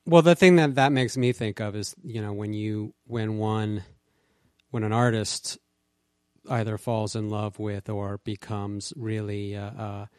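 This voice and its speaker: A man interviewing a guest, asking measured, probing questions and often restarting his sentences. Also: on the low side, steady tone, a little mellow, clear, resonant tone, dry recording, studio quality. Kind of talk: interview conversation.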